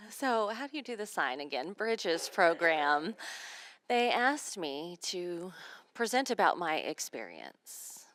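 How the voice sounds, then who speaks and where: A woman working as a sign language interpreter, voicing a signed seminar talk in measured, phrase-by-phrase delivery. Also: lowish voice, big pitch swings, fairly bright tone.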